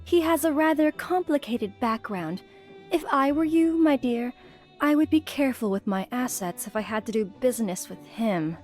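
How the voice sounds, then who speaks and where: High-voiced genteel society lady , acted by a woman. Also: lively intonation, normal speed, darkish tone, soft background music, lightly acted. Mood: gossipy, cautioning. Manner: polite, gossipy. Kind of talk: audio drama acting.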